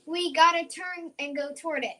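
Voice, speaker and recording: An eager young girl from the country in a radio play, acted out by a woman. Her voice is extremely high and bright. She is plucky and emphatic, repeating phrases to drive her point home. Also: steady tone, normal speed. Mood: urgent, certain. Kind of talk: old-time radio drama acting.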